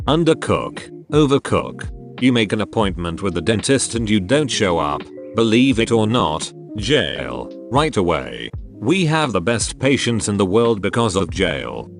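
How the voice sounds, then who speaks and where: A man narrating forum posts aloud as a scripted read-through, with a steady, even pace. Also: on the low side, unhurried. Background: faint background music.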